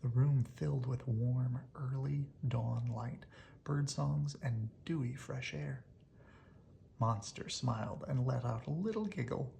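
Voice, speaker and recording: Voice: mid-range voice, takes it slowly, vocal fry, warm tone, slight background noise. A storybook reader narrating expressively aloud for children, as if at bedtime.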